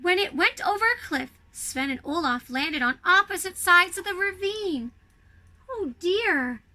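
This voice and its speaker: A woman with a high, strikingly bright voice plays a snow queen at storytime. She reads expressively to young children and talks to them directly, as a costumed storyteller would. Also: unhurried. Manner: expressive, addresses young listeners directly with questions. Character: snow queen telling her own Christmas story. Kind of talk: animated storybook reading for children.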